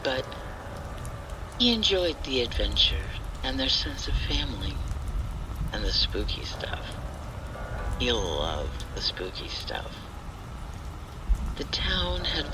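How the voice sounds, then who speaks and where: Guy reading a creepy halloween story real slow and hushed, rain and thunder going behind him. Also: medium pitch. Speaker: horror story narrator. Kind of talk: slow, atmospheric scripted horror narration. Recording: steady rain and thunder noise. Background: rain and thunder ambience.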